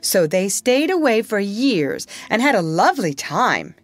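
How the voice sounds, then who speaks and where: A woman voicing a young girl who tells a bedtime story to a crowd of boys in a gentle, motherly tone. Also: medium pitch, very animated, measured pace, no echo, voice pushed up. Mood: storytelling.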